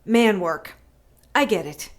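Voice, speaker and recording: A woman's voice: mid-range voice, expressive, slow pace. An actress playing a sardonic captive witch who teases and schemes, in an audio drama. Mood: wry. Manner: wry, teasing, sarcastic.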